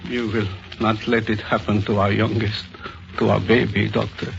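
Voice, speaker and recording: A worried farm father in a vintage radio play, his voice gravelly and rough at the edges. He speaks haltingly, and the recording sounds dull and lo-fi, like an old broadcast. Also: lowish voice, flat delivery, slight background noise. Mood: pleading. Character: grieving farm father, anxious and pleading. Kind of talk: 1930s radio acting.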